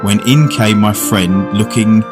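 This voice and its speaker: A man's voice: lowish voice, unhurried, natural voice. An older man with a British accent playing a genteel, reflective diner who narrates a macabre tale in a radio drama. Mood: reflective narration. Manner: courteous, literary storytelling. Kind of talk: fan-made radio drama, first-person narration and dialogue. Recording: music under the voice.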